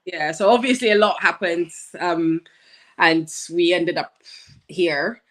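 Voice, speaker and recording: A woman in her thirties from Nigeria, a guest in a casual chat, thoughtful and quick to laugh. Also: on the low side. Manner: laughs often, thoughtful, some ums.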